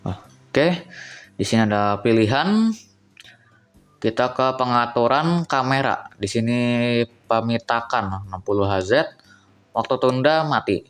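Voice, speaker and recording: A man's voice: mid-range voice, lively intonation, a little slow, long pauses, faint music behind. A young man, casually reviewing a cheap phone on camera, thinking aloud and talking to viewers as guys.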